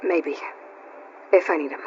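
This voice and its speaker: A woman's voice: low-pitched, measured pace, very noisy. A woman playing a guarded young champion in a video game, terse, blunt and resolute in her delivery. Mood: dismissive. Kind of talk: dramatic video game dialogue. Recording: dull game audio under loud music.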